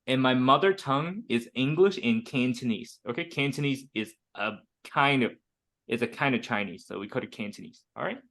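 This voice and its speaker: A man's voice: mid-range voice, moderate pace, fairly bright tone. A man from Hong Kong in his thirties, teaching an online English group lesson over a video call, chatty and full of fillers and restarts.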